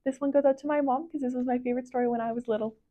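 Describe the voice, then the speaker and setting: A woman reading a children's picture book aloud as a library storyteller, with expressive, careful phrasing. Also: high voice, racing, lo-fi sound, slight background noise.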